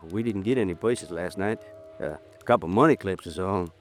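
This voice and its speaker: An actor playing a polite, hesitant hotel bartender in an old-time radio drama, folksy and halting in speech. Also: male voice, low voice, faint music behind, lightly acted.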